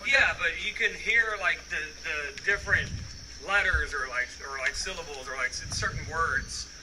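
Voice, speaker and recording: A man's voice: on the high side, moderate pace, noisy background. A man in a casual group chat who makes his points emphatically, swears freely and talks over the others.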